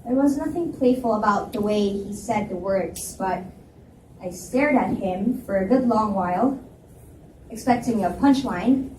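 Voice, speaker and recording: Woman narrating a story out loud at a live reading, echoey, kinda far from mic, slight noise. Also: medium pitch, lively intonation, measured pace. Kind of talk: live first-person story narration with dialogue. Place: indoor reading event at a library. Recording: echoey room, distant mic, faint noise.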